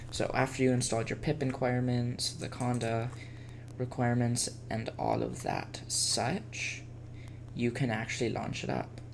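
A man presenting a casual step-by-step software tutorial as a screencast voice-over. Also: medium pitch, creaky. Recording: faint background noise and keyboard typing.

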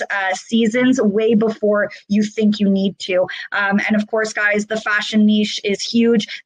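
A woman's voice: mid-range voice, dry recording, rough recording. Canadian woman, webinar presenter; level delivery, dry close audio, casual filler-heavy talk. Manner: chatty, many fillers, calls listeners guys. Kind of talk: webinar slide walkthrough. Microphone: video call.

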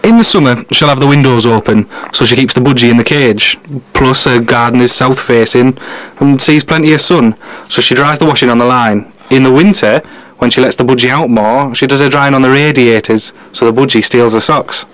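An actor playing a brilliant, dryly sarcastic male detective in a comedy audio drama, terse and deadpan. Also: mid-range voice, fairly quick, lightly acted, low-quality recording. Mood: matter-of-fact.

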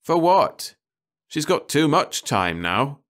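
A man's voice: on the high side, sing-song, slow pace, a bit bright, pitched above the performer's own voice, exaggerated voice. A man voicing a weary, impatient husband in an audiobook story, with an anxious, dismissive, grumbling manner. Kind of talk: audiobook character dialogue.

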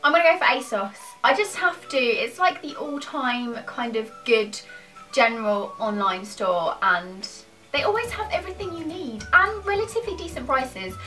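A woman's voice: high-pitched, lively intonation, normal speed, bright, forceful tone, recorded from a distance, soft background music. A British woman in her twenties chatting casually to camera in an informal, self-deprecating, rambling way. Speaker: YouTuber answering questions to camera. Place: small furnished room at home, indoors.

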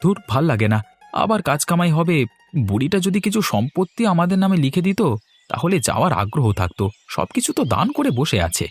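A man's voice: a little high, very animated, a little fast, faint music behind. A man voicing a greedy young son-in-law in a cartoon, grumbling and sarcastic.

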